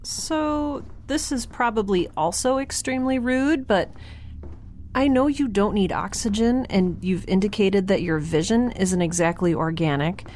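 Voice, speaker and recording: A woman's voice: medium pitch, a little slow, darkish tone, a little hiss or hum, natural voice. An actress playing a candid, curious young woman in a science-fiction audio drama, chatty, informal and wry.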